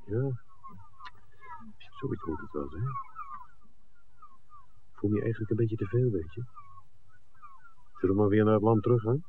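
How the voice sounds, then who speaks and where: A man's voice: lowish voice, lively intonation, unhurried, pauses often. An actor playing an observant, discreet film-maker in a 1960s radio play, conversational and alert as he speculates. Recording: tinny, hissy 1960s radio recording.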